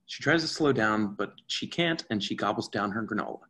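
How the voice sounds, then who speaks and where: A man's voice: lowish voice, expressive, fairly quick, bright, forceful tone. A man reading stage directions as scripted narration for a play reading on a video call. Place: indoors at home, on a video call.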